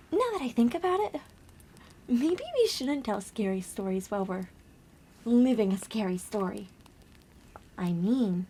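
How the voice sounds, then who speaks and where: A woman playing a gentle young girl on a camping trip in an ASMR roleplay, speaking softly and breathily with hushed, dramatic pauses. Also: mid-range voice, a little hiss or hum. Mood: soft, playful, uneasy. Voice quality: soft, breathy whisper. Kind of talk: ASMR roleplay, soft whispered storytelling.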